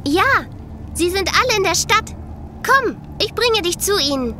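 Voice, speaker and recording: A woman's voice: very high voice, lively intonation, measured pace, pushed and bright, slight background noise, full character voice. A woman playing an innocent, friendly and mysterious little girl of about eleven in a German audio drama, answering simply and secretively. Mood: innocent, reassuring.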